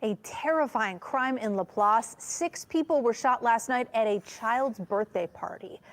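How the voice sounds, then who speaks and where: A woman TV news anchor reading a scripted crime report in a crisp, formal way. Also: a little high, unhurried.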